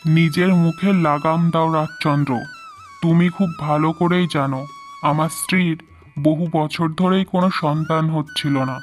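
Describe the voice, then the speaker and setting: A man's voice: fairly high pitch, close to an everyday voice. A man playing a proud, doting king and father in a fairy-tale cartoon, speaking formally. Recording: music under the voice.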